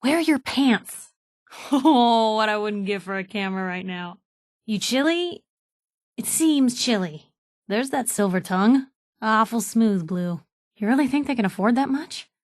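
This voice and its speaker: A woman playing a sassy, warm-hearted young reporter and loyal companion in a video game, talking in wry, breezy, clipped slang. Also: on the high side, expressive, measured pace, no echo, studio quality. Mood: wry, teasing. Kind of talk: game voice lines, short reactive barks.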